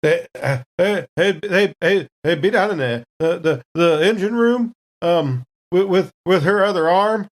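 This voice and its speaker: A man playing a rattled spaceship crewman who stammers and repeats words in a halting mumble. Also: sits high, pitched below the performer's own voice. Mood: nervous, stammering.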